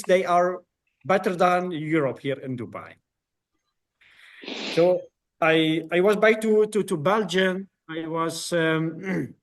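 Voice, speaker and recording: Slow, hesitant Kurdish-born man. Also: fairly high pitch. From Kurdistan, northern Iraq. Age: 20s. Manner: halting, many fillers and restarts. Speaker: guest. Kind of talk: casual interview talk.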